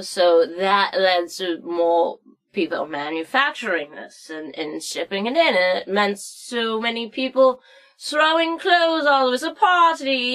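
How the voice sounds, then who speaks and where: A woman playing a crotchety, boozy old woman who tells comic history stories in a theatrical, rambling, halting way. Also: medium pitch, fairly bright tone. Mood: tipsy flustered. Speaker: story-time host. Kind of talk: comic storybook narration and history lesson.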